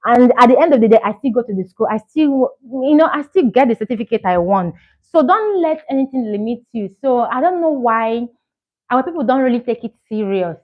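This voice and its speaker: A woman's voice: on the high side, animated, brisk, muffled recording. Earnest Nigerian woman vlogger. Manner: earnest, encouraging, repeats herself for emphasis. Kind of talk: casual chat to camera.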